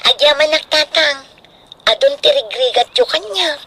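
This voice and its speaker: A woman's voice: high voice, animated, moderate pace, bright, forceful tone, a little hiss or hum, low-quality recording. A woman playing an earnest, anxious young student daughter in a radio drama, with a pleading, emotional manner. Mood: worried, guilty.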